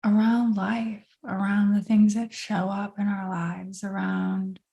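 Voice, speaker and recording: A woman co-hosting a podcast, talking in a slow, thoughtful, reflective way and searching for words. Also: medium pitch, level tone, creaky, warm tone. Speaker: podcast co-host and coach. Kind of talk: reflective casual chat.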